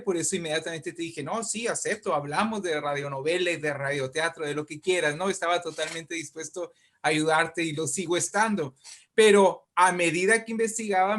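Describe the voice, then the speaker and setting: A man's voice: a little high, fairly bright tone. A man with a Mexican accent speaking conversationally as an interview guest, explaining in an emphatic, discursive way and often checking in with the listener. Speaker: invited guest, writer and poet.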